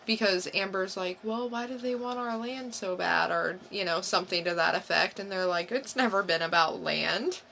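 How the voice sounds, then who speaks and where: Female podcast co-host, bright and clear-cut, casual review chat with a questioning bent, faint background noise. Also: mid-range voice, normal speed, hardly any pauses.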